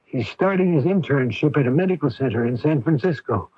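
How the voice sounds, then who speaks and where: Husky, hesitant, gentle father. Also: medium pitch, expressive, a little fast, no echo. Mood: gentle, halting. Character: gentle, hesitant, devoted father of young woman. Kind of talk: 1980s radio drama acting. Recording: dull, dry AM radio recording.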